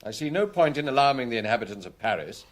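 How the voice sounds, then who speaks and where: An actor playing a shrewd, self-assured male detective inspector in a British radio crime drama, speaking assertively and deliberately. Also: mid-range voice, sing-song, lightly acted. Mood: assured, explanatory.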